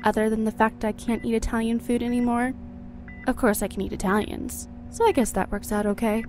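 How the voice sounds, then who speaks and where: A woman's voice: fairly high pitch, steady tone, fairly quick, a little mellow, slight background noise, lightly acted. An actress playing a cruel, seductive vampire ex-wife in an audio drama, mocking and theatrical, with music behind her. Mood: smug, sardonic. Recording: music bed under the voice.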